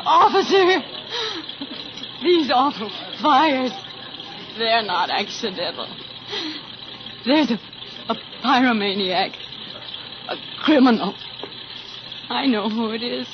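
An actress playing a desperate, halting wife denouncing her husband in a 1940s radio melodrama. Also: on the high side, expressive. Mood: desperate pleading. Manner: halting, overwrought. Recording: muffled, noisy 1940s radio recording.

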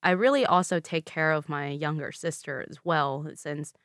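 A woman in her twenties, raised in the American South with Vietnamese as her first language, explaining her family story calmly and steadily in an interview. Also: sits low, moderate pace, dry recording, clean studio sound. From Louisville, Kentucky, USA. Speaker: interview guest. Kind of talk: conversational interview.

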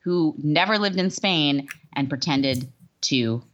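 A woman's voice: deep voice, takes it slowly, a bit bright, lo-fi sound. A woman co-hosting a show in casual, candid, jokey chat. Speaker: podcast co-host.

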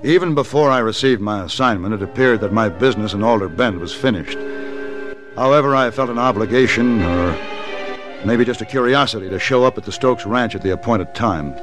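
A man plays a principled gun-for-hire in an old western radio play. His voice is on the low side, laconic and unruffled, with prominent music in the vintage recording. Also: brisk, close to an everyday voice. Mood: reflective narrating. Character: cool, principled hired gunman of the Old West. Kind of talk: 1960s western radio drama acting.

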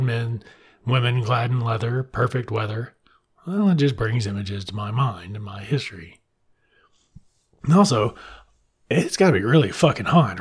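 A middle-aged American man from Mississippi with a husky voice, giving comic commentary in an irreverent, sardonic, swearing manner. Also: medium pitch. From Mississippi, United States.

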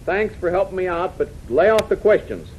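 Curt, evasive tough pilot. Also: male voice, high-pitched, big pitch swings, fairly quick. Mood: guarded, impatient. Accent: American. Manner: brusque, slangy. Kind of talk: 1940s radio drama acting. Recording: muffled, noisy 1940s radio recording.

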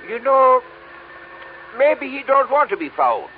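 A cagey New cabbie in a vintage radio drama. His voice is high and swings freely, full of knowing, working-class talk. The recording is narrow and muffled, like an old broadcast. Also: sing-song, slow pace, a little hiss or hum, full character voice. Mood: cagey, bargaining. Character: streetwise, cagey New York cab driver, haggling man. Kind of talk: 1950s radio drama acting.

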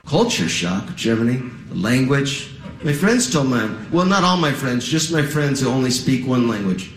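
A man performing stand-up, his wry storytelling lifting and dropping through a lively, slightly high voice. The sound is roomy, with steady club noise underneath, as if caught live. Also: normal speed. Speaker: stand-up comedian. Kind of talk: stand-up comedy routine.

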